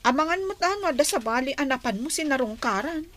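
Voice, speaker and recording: A woman's voice: sits high, lively intonation, quick pace, fairly bright tone. An actress with an Ilocano accent playing a troubled young woman in love in a radio drama. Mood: reluctant. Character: troubled young woman pining for her sweetheart.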